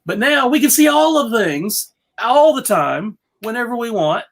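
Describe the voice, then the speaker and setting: A woman's voice: on the high side, sing-song, unhurried. A middle-aged woman moderating a casual panel discussion, talking in a chatty, informal way. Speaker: panel host.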